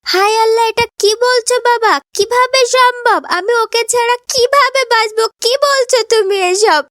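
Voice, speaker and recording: Devoted little girl, cartoon melodrama. Extremely high voice, pleading and plaintive, dry recording. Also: normal speed, a bit bright, dry recording. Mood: shocked, tearful.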